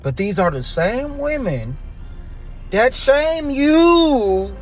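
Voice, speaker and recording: A man in his twenties telling a dating story in a crude, animated rant, dropping to low mutters and rising to loud outbursts. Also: very slow, soft background music. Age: 20s. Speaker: dating commentator.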